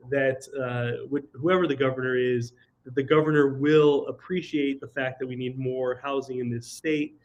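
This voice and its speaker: Man, mid-pitch, slightly nasal from a cold, presents on a video call with steady ums and restarts. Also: poor audio. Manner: halting, many ums and restarts, occasional throat clearing. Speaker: presenter of a housing webinar. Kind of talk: online presentation with slides.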